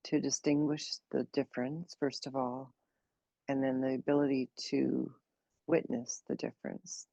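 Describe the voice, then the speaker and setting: A woman leading a guided meditation, speaking slowly and gently in an unhurried way with long pauses. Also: rumbling low, level tone, creaky, no echo, dull audio. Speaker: meditation teacher.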